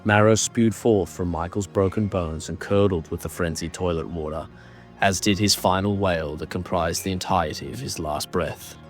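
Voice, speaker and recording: A man narrating a horror audio drama in a grave, deliberate, dramatic way, reading ornate scripted prose. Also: low-pitched, steady tone, music in the background.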